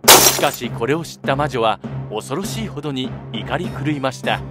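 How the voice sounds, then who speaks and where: A man's voice: mid-range voice, expressive, moderate pace, loud music behind the voice. A man narrating a children's fairy tale from a script, as the storybook narrator.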